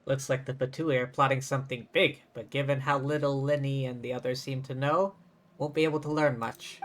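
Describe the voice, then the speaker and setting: A man's voice: medium pitch, little pitch movement, a little fast, slight background noise. A man giving casual, reactive commentary as a live game streamer.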